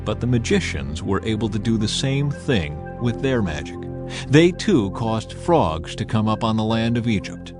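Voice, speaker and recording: A man's voice: on the low side, sing-song, moderate pace, music in the background. A man reading scripture aloud as a scripted audiobook narration.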